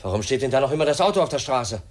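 A man's voice: medium pitch, animated, rapid-fire, lightly acted. An actor in a 1950s German radio play, playing an earnest, suspicious young man who is polite but insistent and brooding. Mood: anxious, suspicious. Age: young adult.

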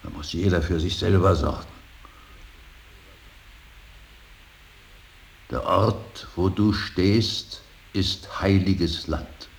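Brooding elderly painter-professor in radio drama: gravelly, unhurried, grave and intense, slight hiss on the recording. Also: male voice, sits low, full character voice. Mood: indignant fervour. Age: older. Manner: solemn, intense, swings from hushed whisper to outburst. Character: stern, brooding old painter-professor and father. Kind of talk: 1980s German radio drama acting.

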